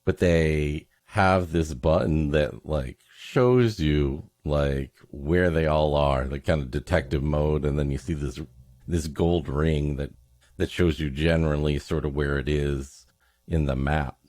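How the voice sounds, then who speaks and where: A man's voice: very deep voice, unhurried, darkish tone, no room sound. A man hosting a podcast with comedic banter and story introductions, playfully mock-dramatic in his delivery. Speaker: podcast host.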